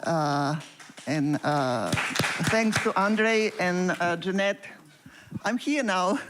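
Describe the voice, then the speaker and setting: A woman from Ukraine speaks with a Ukrainian accent, slowly and haltingly, filling her pauses as she searches for words. She sits back from the microphone, so her voice sounds a little distant. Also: lowish voice, lively intonation, heavy background noise. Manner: hesitant, many filler pauses. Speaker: visiting congregant. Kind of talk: brief self-introduction.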